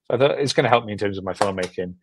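A man's voice: on the low side, racing, slight background noise. A young British man chatting casually as an interview guest, rambling and self-deprecating, repeating himself as he goes. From Britain. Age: young adult. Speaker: guest filmmaker. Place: room at home, on a video call.

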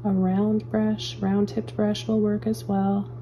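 A woman calmly talking viewers through painting step by step, as a painting teacher in her studio. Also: medium pitch, takes it slowly, a little hiss or hum, poor audio. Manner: calm, gentle step-by-step instructions. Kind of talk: real-time painting tutorial. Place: indoor studio at an easel.

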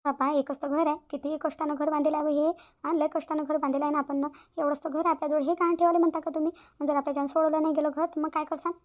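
A woman's voice: high-pitched, level tone, very fast, muffled recording, lightly acted. A woman voicing a worried, stubborn village mother in a Marathi cartoon, plaintive and repetitive, with a Vidarbha Marathi accent. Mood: upset, pleading.

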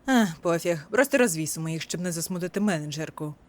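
A woman voicing a grumpy, sardonic young shop worker in an animated comedy dub, talking in a slangy, irritated way. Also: fairly low pitch, fast talker, natural voice. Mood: weary.

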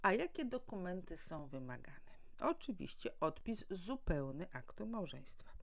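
A woman with a somewhat low, creaky voice delivers an explanatory talk on formal matters. Her intonation moves up and down in a lively way as she teaches like a legal adviser addressing the public. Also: a little slow. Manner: formal, didactic, asks and answers own questions. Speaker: legal adviser and mediator presenting.

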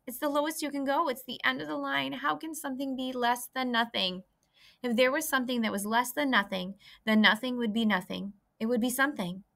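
A woman's voice: sits high, creaky, a bit bright, pitched above the performer's own voice. A woman voicing a lonely young male pig who whines and pleads. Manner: whiny, pleading.

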